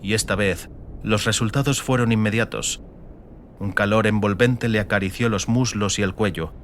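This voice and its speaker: A man's voice: lowish voice, fairly even intonation, moderate pace, a bit bright, slight background noise. A man reading scripted, dramatic fantasy narration as an audiobook narrator.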